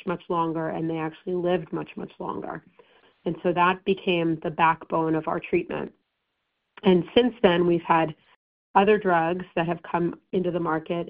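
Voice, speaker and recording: A hoarse woman doctor explains treatment options in an informative way, with frequent ums and false starts. Her voice is low and gravelly. The sound is thin and phone-like. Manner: informative, many ums and restarts. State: hoarse, recovering. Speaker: breast oncologist presenting. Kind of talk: medical presentation to patients. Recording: tinny phone-line audio.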